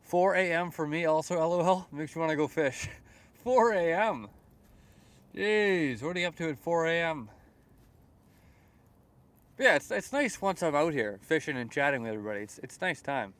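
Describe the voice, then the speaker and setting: A man's voice: on the high side, lively intonation, moderate pace, long pauses, a bit bright. Chatty young Canadian man livestreaming outdoors. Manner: rambling, repeats words, chuckles often. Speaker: fishing livestreamer. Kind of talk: casual live-stream chat reading comments. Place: outdoors at a lake.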